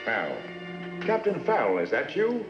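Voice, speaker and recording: Skeptical western sheriff, dull echoey sound. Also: male voice, fairly high pitch, huge pitch swings, moderate pace. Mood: pointed. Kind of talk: old western film acting. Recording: dull echoey old film sound, music behind.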